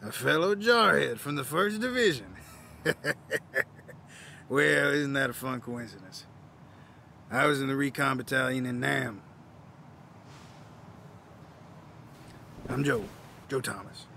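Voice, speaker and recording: A gravelly, forceful old veteran , acted by a man, down on his luck but proud. He talks in a gruff, wry, folksy way, as in an audio drama. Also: mid-range voice, animated, unhurried, pauses often, slight background noise, exaggerated voice.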